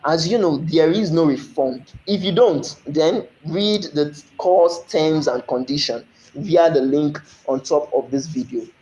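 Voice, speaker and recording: A man's voice: fairly high pitch, a little slow, hardly any pauses, darkish tone, reverberant, lo-fi sound. A man from Nigeria with a Nigerian accent, teaching a recorded French lesson, explaining step by step and often checking "you understand?".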